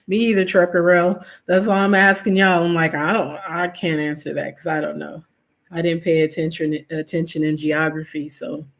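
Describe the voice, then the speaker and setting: A woman's voice: low-pitched, flat delivery, moderate pace. A woman, nasal and sniffly from allergies, casually chatting with viewers on her stream. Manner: chatty, reads viewer names, trailing fillers. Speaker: livestream host.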